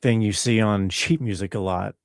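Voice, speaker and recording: A man speaking as an interview guest, explaining his work in a casual, laid-back, slightly halting way. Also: on the low side, creaky, dry recording, clean studio sound. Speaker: interview guest, film composer.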